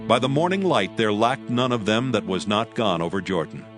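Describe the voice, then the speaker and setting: A man reads scripture as a narrator. His voice sits fairly low, and prominent music plays underneath the scripted reading. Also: expressive, moderate pace. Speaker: Bible narrator. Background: prominent background music.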